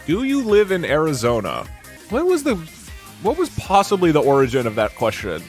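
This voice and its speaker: Man, streamer, casual rambling chat, animated pitch, faint music behind. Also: moderate pace. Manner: rambling, animated, frequent restarts and fillers. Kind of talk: casual chat reacting to viewer comments. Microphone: desk mic at a green screen setup.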